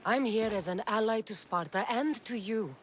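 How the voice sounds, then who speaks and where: A woman's voice: on the high side, normal speed, a little hiss or hum, natural voice. A woman playing a blunt Spartan mercenary. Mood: determined. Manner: blunt, self-assured. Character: defiant female Spartan mercenary hero. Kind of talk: video game cutscene acting.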